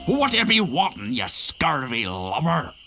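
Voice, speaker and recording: Drawling pirate, wildly swooping pitch. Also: male voice, huge pitch swings, slow, pushed and bright, soft background music, full character voice. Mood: surly. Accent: stage pirate. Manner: drawling, grumbling, theatrical. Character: surly male pirate lookout, affected old-time lingo. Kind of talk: comic adventure game acting.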